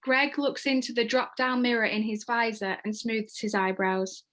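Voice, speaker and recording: A woman's voice: a little high, steady tone, moderate pace, fairly bright tone. A woman giving scripted narration, reading out screenplay stage directions. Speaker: stage-direction reader and host.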